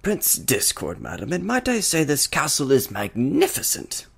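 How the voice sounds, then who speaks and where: A man voicing an eccentric, cheeky chimera prince from a fantasy story, theatrical and teasing, with a smooth voice. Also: fairly high pitch, huge pitch swings, normal speed, a bit bright, voice pushed up, exaggerated voice. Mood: grand, exuberant. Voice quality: smooth voice with an oddly placed accent.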